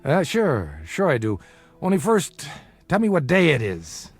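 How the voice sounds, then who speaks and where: Glib boozy bookie, high-pitched and animated. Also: male voice, a little slow, breathy edge, faint music behind, heavily acted. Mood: flustered, charming. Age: middle-aged. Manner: glib, theatrical flattery with wistful turns. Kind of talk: audio drama acting.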